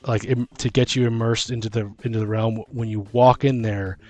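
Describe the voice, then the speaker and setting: A man talks in a mid-pitched voice over background music. He is a podcast host brainstorming fantasy stories casually, circling back over phrases as he works out each idea aloud. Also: flat delivery, darkish tone. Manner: halting, thinking aloud, repeats phrases. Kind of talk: casual creative brainstorming about tabletop fantasy lore.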